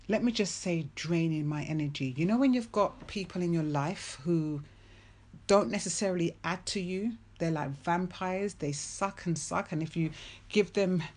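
A woman giving a faith-based motivational talk, speaking earnestly and emphatically and repeating key phrases for weight. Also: lowish voice.

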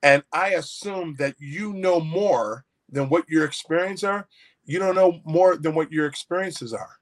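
A man's voice: fairly high pitch, measured pace. Folksy male guest telling a story. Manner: repetitive restarts, anecdotal, folksy. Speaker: author guest. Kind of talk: storytelling in an interview.

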